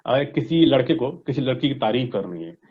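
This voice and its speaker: A man's voice: medium pitch, lively intonation, normal speed. A man with an Indian accent explaining as a coach in an interview, mixing Hindi and English and often checking that the listener follows. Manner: didactic, often checking with "right?" and "okay?". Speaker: voice modulation coach being interviewed. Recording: dull, lo-fi sound.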